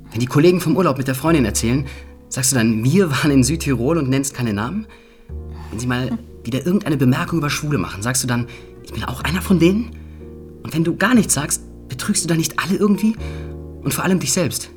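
An actor playing a young adult son in a radio drama, speaking in a casual, earnest and reflective way. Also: male voice, mid-range voice, lightly acted. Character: candid, thoughtful grown son of the inspector. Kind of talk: naturalistic German radio drama acting.

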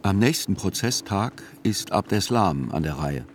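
Radio play narrator: probing, dryly ironic chronicler, lowish pitch, measured scripted delivery. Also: male voice, lively intonation, slight background noise. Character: probing, wry male chronicler of a trial.

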